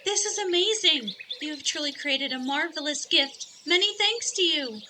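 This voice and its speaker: A woman's voice: high-pitched, expressive, normal speed, noisy background. A woman playing a gracious, delighted goddess in an amateur fantasy audio drama. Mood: delighted, grateful.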